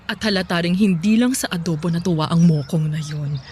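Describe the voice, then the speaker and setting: A woman's voice: lowish voice, brisk, slight background noise, natural voice. An actress playing a cheerful, teasing middle-aged mother in a radio drama. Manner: playful, teasing, lively.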